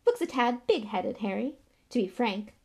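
Woman doing a pompous, booming, animated celebrity schoolmaster. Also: normal speed, vocal fry. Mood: patronising. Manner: booming, hearty, patronising. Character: pompous, jovial, self-important male celebrity teacher.

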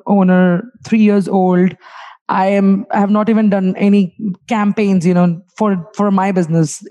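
A chatty woman host, a small business owner, asking questions over a video call. Her voice is dark and mellow. She repeats words and laughs often as she talks. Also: mid-range voice. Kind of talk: casual interview talk.

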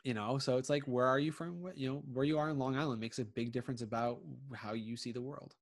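A man's voice: mid-range voice, fairly even intonation, quick pace. A man in his thirties from Connecticut, chatting casually and rambling with many fillers and restarts. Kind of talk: casual chat.

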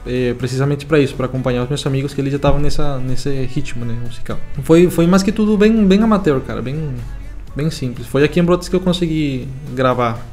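A nineteen-year-old man from Venezuela, a Spanish native speaker with a Venezuelan accent, telling his story as a songwriter in a relaxed, halting way full of fillers. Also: medium pitch, faint music behind. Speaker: young songwriter. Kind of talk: casual interview answers.

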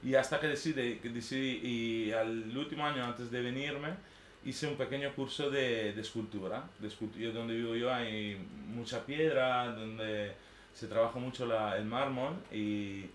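A man's voice: medium pitch, fairly even intonation, moderate pace. A man in his thirties with an Italian accent, native to northern Italy, gives an informal, unscripted personal talk. He rambles and hesitates, often checking for agreement as he goes. The room echoes around him, with a faint layer of noise behind. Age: 30s. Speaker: artist giving a talk.

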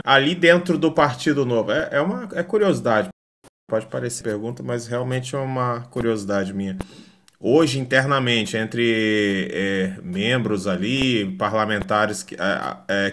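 A man's voice: on the low side, a bit bright, slight background noise. A man interviewing a candidate, posing long questions that he keeps qualifying. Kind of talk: interview conversation.

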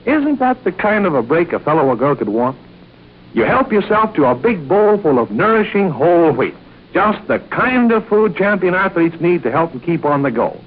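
A man's voice: sits high, a little hiss or hum. A man announcing a 1940s radio commercial in an emphatic, salesmanlike way, speaking directly to young listeners. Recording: dull, lo-fi 1940s radio recording.

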